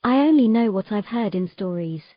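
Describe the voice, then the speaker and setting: A woman's voice: medium pitch, animated, moderate pace, no echo, lo-fi sound, close to an everyday voice. A woman playing a troubled, earnest young mermaid in a romantic fantasy audio drama. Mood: wistful storytelling.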